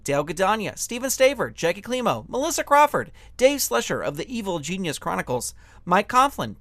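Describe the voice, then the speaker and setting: A woman's voice: medium pitch, fairly bright tone, no echo. A woman reading scripted podcast credits as an announcer.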